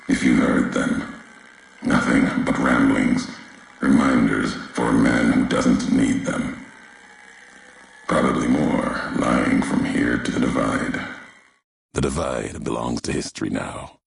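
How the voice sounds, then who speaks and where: Cavern-deep, gravelly, brooding wasteland courier. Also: male voice, slight background noise, exaggerated voice. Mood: brooding, resigned. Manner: slow, cryptic, clipped fragments. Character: brooding, solemn wasteland courier haunted by history. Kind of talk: video game character monologue.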